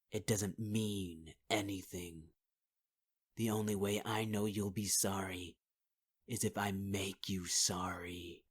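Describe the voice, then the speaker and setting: A man performing a menacing, taunting villain in an ASMR audio roleplay, often half-whispering. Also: low-pitched. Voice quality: husky, half-whispered delivery.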